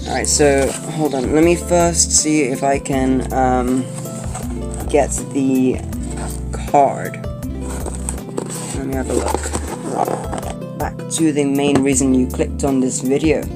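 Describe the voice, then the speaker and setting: A young man from Colombia, talking casually while unboxing and reviewing a toy, rambling with lots of fillers and restarts. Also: medium pitch. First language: not Spanish. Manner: chatty, hesitant, many fillers and restarts. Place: indoor room at a table. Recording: background guitar music. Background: guitar music.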